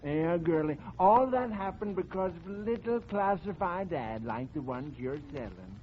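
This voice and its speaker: An actor playing a doddering, cranky old husband with a folksy, rambling manner in 1940s radio comedy. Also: male voice, fairly high pitch, expressive, normal speed, close to an everyday voice. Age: older. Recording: muffled 1940s radio recording, audience, hiss.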